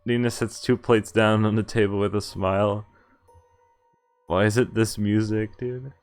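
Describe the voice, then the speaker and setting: Woman, very low pitch, game streamer, expressive reading aloud, casual muttered swearing, soft game music in background. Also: measured pace. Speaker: streamer playing a visual novel. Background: visual novel background music.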